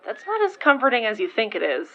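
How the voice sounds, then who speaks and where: An actress playing a protective, blunt young sidekick in audio fiction, talking in an informal, wry and emphatic way. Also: female voice, on the high side, huge pitch swings, quick pace, sharp tone, highs cut off, heavily acted. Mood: relieved, wry. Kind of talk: audio fiction dialogue acting.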